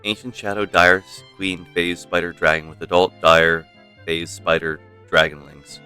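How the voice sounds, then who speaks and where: A man's voice: deep voice, flat delivery, normal speed, clean tone. A man streaming a game with casual, playful, rambling commentary for his chat. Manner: rambling, playful, mock-stern. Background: soft game music.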